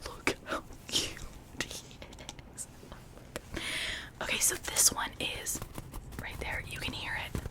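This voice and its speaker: An ASMR creator whispering an informal, rambling chat in a breathy, unvoiced voice, gushing softly over cute things. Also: takes it slowly, long pauses.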